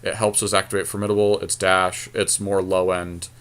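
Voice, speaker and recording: A man's voice: deep voice, little pitch movement, moderate pace, a bit bright, clear, resonant tone. A man co-hosting a casual podcast, giving analytical commentary as he thinks aloud through card game picks. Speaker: podcast co-host.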